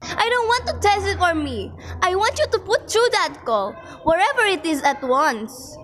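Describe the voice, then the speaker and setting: A woman's voice: extremely high pitch, sing-song, normal speed, fairly bright tone, full character voice. A woman voicing a nervous, demanding, self-pitying invalid wife in an amateur radio play, fretful and imperious. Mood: indignant.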